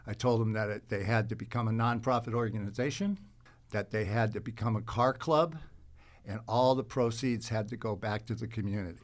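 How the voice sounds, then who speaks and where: A man chatting casually as a podcast guest, telling anecdotes in a halting, rambling way. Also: lowish voice. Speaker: podcast guest, actor and car show organizer.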